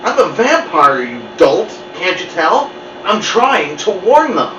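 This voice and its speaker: A man voicing a sardonic, superior cat in a children's storybook, calm and condescending. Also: high-pitched, slow, soft background music, voice pushed up, full character voice. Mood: exasperated. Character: sardonic, superior male house cat, scornful schemer. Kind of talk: children's storybook read-aloud. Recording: muffled, roomy, distant mic with noise.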